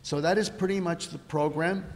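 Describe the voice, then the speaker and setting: A man hosting a conference session, switching between Spanish and English and putting brisk, direct questions to students. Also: fairly high pitch, steady tone, slight background noise. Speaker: event host and interviewer. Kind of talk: bilingual event announcements and interviewing. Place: conference hall.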